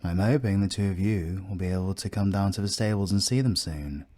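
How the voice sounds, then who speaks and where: A proud British captain , acted by a man, from a fantasy audio drama. His voice sits low and mellow. He speaks formally and with measured care, stiff but courteous. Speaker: voice actor. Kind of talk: romantic fantasy audio roleplay acting.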